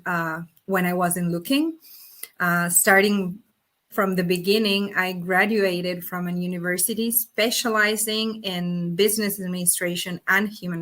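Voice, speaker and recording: Bright-voiced woman, Spanish native speaker. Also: on the low side. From Argentina. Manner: earnest, with frequent fillers and restarts. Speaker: interview guest, company executive. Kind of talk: conversational interview answers. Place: Brazil.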